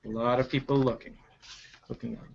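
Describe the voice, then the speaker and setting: A storyteller reading a picture book aloud to children in a deliberate, expressive way, stretching out key words. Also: mid-range voice, gravelly, highs cut off. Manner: animated, deliberate, stretching key action words, with asides to listeners.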